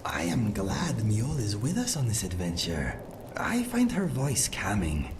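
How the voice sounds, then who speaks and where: A woman playing a calm adventurer companion in a video game. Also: very deep voice, a little slow, exaggerated voice. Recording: game audio with clear background noise.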